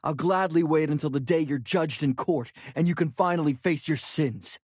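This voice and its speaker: An actor playing a stern, vengeful young male adventurer in a video game, husky and firm with restrained anger. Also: on the high side, moderate pace, darkish tone. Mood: cold anger. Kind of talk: video game character acting.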